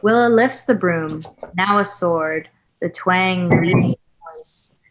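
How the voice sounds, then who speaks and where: A woman's voice: lowish voice, slow pace, background noise. A woman reading stage directions as narrator at a screenplay table read, in composed, literary storytelling style.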